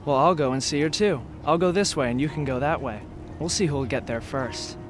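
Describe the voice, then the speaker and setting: A woman voicing a hungry, sly male wolf with a deep, gruff, menacing voice. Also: a little fast, over music, lightly acted. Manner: gruff, menacing, feigning a soft voice.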